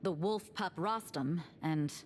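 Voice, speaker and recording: Cool, wry game heroine. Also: female voice, on the low side, slow pace, slight background noise. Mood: grave storytelling. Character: worldly young woman, cool, wry, knowing storyteller. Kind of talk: video game voice acting. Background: faint background music.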